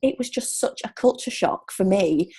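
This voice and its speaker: A woman's voice: mid-range voice, lively intonation, normal speed, dark tone. A woman in her thirties from Hull with a Yorkshire accent, chatting casually as an interview guest and laughing often. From Hull, Yorkshire, England. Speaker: guest, relocation coach.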